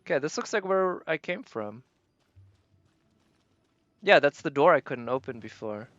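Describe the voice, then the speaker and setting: A man's voice: on the high side, lively intonation, measured pace, fairly bright tone, no echo, lo-fi sound. A man live streaming a video game, chatting casually with viewers and musing aloud as he plays. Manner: thinks aloud, tentative and musing.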